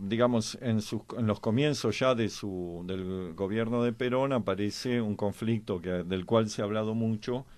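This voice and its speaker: An Argentine man speaks in a fairly deep voice with a very dry sound. He discusses history conversationally, pausing often and feeling for the next phrase. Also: a little mellow, no room sound. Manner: halting, pauses to search for words. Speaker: panelist on a history and politics programme.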